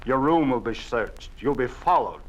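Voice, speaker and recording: An actor playing a weary middle-aged father in a 1950s radio drama, sometimes shouting sternly. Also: medium pitch, expressive, normal speed, dull audio, lightly acted.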